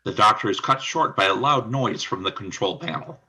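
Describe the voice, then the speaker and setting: Husky, forceful male radio play narrator. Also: fairly low pitch, animated, moderate pace. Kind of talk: scripted radio drama narration.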